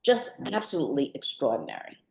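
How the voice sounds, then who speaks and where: An older woman talking reflectively about creative work, measured and thoughtful, with deliberate pauses in mid-sentence. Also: very deep voice, wildly swooping pitch. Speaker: poet and artist being interviewed. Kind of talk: reflective talk about own creative work at a book event. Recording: roomy, echoing live event sound.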